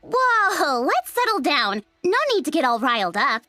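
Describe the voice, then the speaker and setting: A woman playing a brash, cheeky young female android soldier in a video game, sceptical but easygoing, with a sassy, expressive delivery. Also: sits high, unhurried, no room sound, studio quality, faint music behind, full character voice. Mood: wry, casual. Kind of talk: English video game voice acting.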